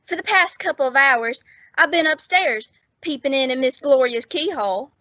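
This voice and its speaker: Eager naive bellboy, high, bright and swooping, gee-whiz vintage radio comedy. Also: female voice, normal speed, no room sound. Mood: eager, smitten. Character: naive, girl-struck, squeaky young bellboy. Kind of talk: 1950s radio comedy acting. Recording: dull old-time radio recording.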